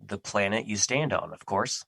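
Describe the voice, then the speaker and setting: Man acting stern, formal personification of Fear, bright-voiced. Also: lowish voice, normal speed, gravelly, husky, no echo, clean studio sound, full character voice. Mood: earnest. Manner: formal, serious, stiff phrasing. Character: Fear, stern, earnest, easily irritated male personified emotion. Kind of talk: audio drama acting.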